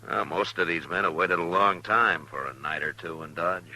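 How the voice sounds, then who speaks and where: An actor playing a laconic, firm frontier marshal with a husky voice in an old-time radio Western. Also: male voice, sits low, normal speed, sharp tone, exaggerated voice, low-quality recording. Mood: easygoing. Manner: laconic, plain-spoken, authoritative.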